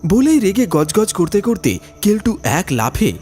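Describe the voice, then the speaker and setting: A man's voice: high voice, big pitch swings, measured pace, a little mellow, faint music behind. A man narrating a ghost story from a script in a dramatic style.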